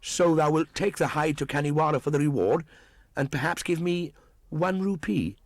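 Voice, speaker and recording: A man's voice: on the high side, moderate pace, no room sound, voice pushed up, natural voice. A man voicing a scornful young boy raised by wolves, with archaic, commanding speech, in a storybook reading. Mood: defiant.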